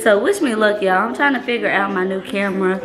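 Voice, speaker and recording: A woman's voice: mid-range voice, fast. A young woman chatting casually and goofily to camera as a vlogger alongside her friend. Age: young adult. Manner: goofy, informal. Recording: echoey room with loud music behind.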